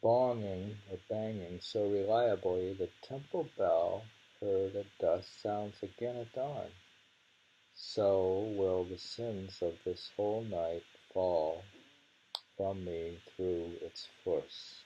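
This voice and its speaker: Male poetry reader, deep and husky, dark tone, unhurried delivery with informal asides. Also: fairly even intonation, lo-fi sound. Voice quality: gravelly, husky. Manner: deliberate, lingers over and repeats phrases. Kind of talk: slow poetry reading with informal commentary.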